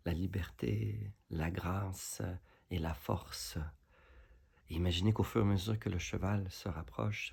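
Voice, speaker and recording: Male meditation guide: very low, creaky register, soothing and unhurried, leaving pauses between instructions. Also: a little mellow. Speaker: yoga nidra instructor. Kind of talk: guided relaxation meditation, speaking to listeners.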